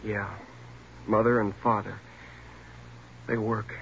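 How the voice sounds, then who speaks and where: An actor playing a frightened twenty-year-old burglary suspect in a 1940s radio drama, pleading, evasive and stammering. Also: male voice, fairly low pitch, level tone, slow, gravelly, fairly bright tone, heavily acted. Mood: scared, reluctant. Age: young adult. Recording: noisy, muffled 1940s radio recording.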